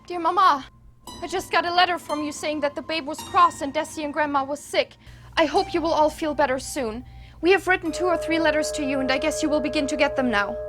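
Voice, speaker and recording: A woman's voice: sits high, a little fast, a bit bright, faint music behind. A young woman playing an innocent, trusting Victorian girl on stage, with an earnest, childlike, theatrical delivery. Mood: wistful, innocent. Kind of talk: stage play acting. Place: theater stage with live audience.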